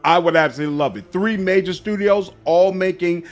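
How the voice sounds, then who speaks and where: A man's voice: sits high, a little fast, faint music behind. Man hosting a gaming commentary video. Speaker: gaming channel host.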